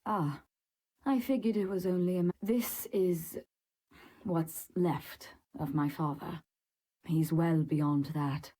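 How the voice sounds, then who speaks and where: An actress playing a weary, grieving daughter in a video game, subdued, halting and resigned. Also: female voice, fairly low pitch, steady tone, mellow. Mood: quiet grief. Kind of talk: dramatic game voice acting.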